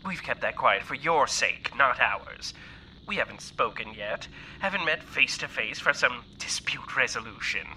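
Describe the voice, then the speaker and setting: Sharp, smug corporate villain. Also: male voice, medium pitch, lively intonation, measured pace, a little hiss or hum, exaggerated voice, poor audio. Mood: smug menace. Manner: pompous, theatrical, falsely courteous. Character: smug menacing male corporate lawyer delivering an ultimatum. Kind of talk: audio drama acting, formal recorded message.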